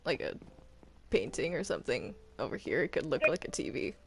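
A woman's voice: low voice, sing-song, fairly quick, creaky, over music. A woman chatting casually in a roleplay game, hesitant and often repeating her words. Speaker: player in a Minecraft roleplay.

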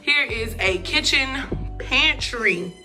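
A woman's voice: sits high, animated, slow pace, music in the background. A woman vlogger giving a casual, chatty tour of her new apartment, talking straight to her viewers with informal slang while filming on a phone. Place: empty apartment indoors. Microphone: phone camera held while walking.

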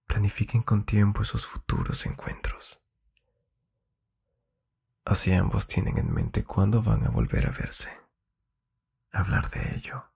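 A man with a very creaky, low voice speaks in a soft, intimate ASMR style. His delivery is slow and husky, drifting into whispers close to the microphone. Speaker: ASMR host. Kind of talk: intimate Spanish ASMR relationship advice. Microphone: close-up binaural microphone.

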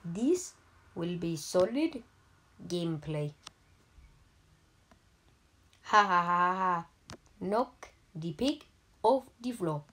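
A gaming YouTuber with an Indian accent, giving deadpan, halting comedic commentary over mobile gameplay. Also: female voice, on the low side. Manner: deadpan, halting, joking persona.

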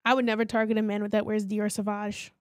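A woman's voice: medium pitch, little pitch movement, quick pace, no room sound, studio quality. A woman co-hosting a podcast, chatting informally with frequent "like" and some swearing. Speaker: podcast co-host. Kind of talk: casual chat.

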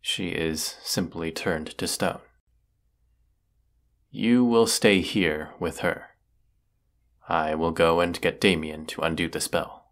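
Low gravelly voice, male actor as brooding villain wizard, slow pace, stern, formal, fantasy audio drama. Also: fairly even intonation, fairly bright tone, exaggerated voice. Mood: curt, impatient. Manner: stern, formal, deliberate delivery. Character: brooding villainous wizard of the woods, secretly lovelorn. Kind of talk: fantasy audio roleplay acting.

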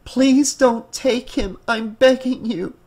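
A man's voice: high voice, a little slow, a bit bright, pitched above the performer's own voice. A man voicing a distraught, exhausted mother in an audiobook, with a pleading, exasperated manner. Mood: pleading, desperate. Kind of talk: audiobook character acting.

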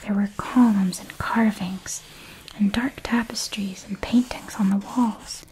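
Slow whispering woman storyteller. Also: mid-range voice, rough recording. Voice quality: soft whisper. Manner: slow, calm and hushed. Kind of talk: whispered ASMR story reading.

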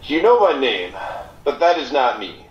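A man playing a cryptic alien fence and trader in an audio drama, speaking in halting, deliberate riddles. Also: medium pitch, very animated, echoey room, dull audio, close to an everyday voice. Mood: mysterious, scheming.

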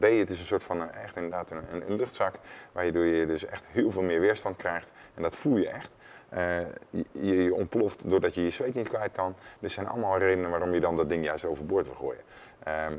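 A man's voice: deep voice, normal speed. A man, chatting casually as a studio guest and often repeating words as he goes. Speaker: former professional cyclist, studio guest. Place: indoor studio. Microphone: lapel mic.

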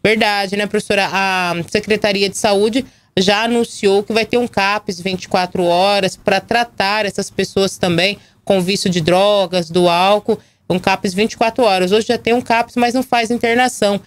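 A Brazilian woman in her thirties presenting live local news, her voice hoarse from a cold. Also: medium pitch, steady tone, moderate pace, no echo. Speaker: TV and radio news anchor. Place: small indoor news studio. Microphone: gooseneck desk microphone.

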